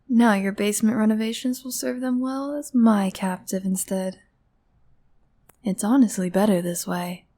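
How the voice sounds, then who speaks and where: A woman's voice: medium pitch, dark tone, close to an everyday voice. A woman playing a smug, possessive kidnapper sister in a comedic audio roleplay, condescending and cooing, often close to a whisper.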